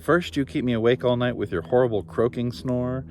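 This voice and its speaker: A man's voice: mid-range voice, fairly quick, a little mellow, natural voice. A man voicing an exasperated, nagging princess in a fairy tale. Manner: scolding. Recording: noticeable background noise.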